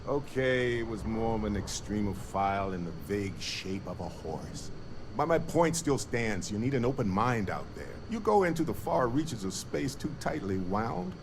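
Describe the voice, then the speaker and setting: A roguish, upbeat male space explorer in a video game. His low voice swings freely through breezy, wry jokes, over clear background hum. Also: expressive, moderate pace. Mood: playful.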